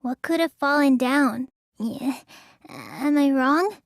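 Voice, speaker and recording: A woman's voice: sits high, animated, slow, gravelly, no room sound, studio quality, full character voice. A woman voicing a petite, stubborn teenage girl magician in an English anime game, with a languid, childlike, slightly pouty delivery. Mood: puzzled, thoughtful.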